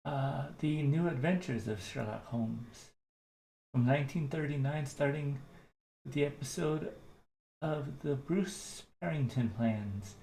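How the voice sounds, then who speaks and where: A man giving a casual, unscripted introduction as a presenter, speaking in a halting, hesitant way. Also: medium pitch, vocal fry, mellow. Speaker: presenter introducing old radio episodes. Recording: echoey room with faint noise.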